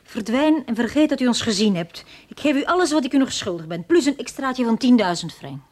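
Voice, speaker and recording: A woman's voice: fairly high pitch, expressive, a little fast, a little mellow. An actress playing a cool, scheming female accomplice in a radio drama, speaking in a curt, businesslike way. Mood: curt, hurried.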